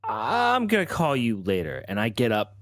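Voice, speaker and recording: Lively, halting male tabletop player. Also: medium pitch, dry recording, studio quality. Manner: halting, thinks aloud. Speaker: roleplaying game player. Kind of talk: improvised in-character storytelling and table talk.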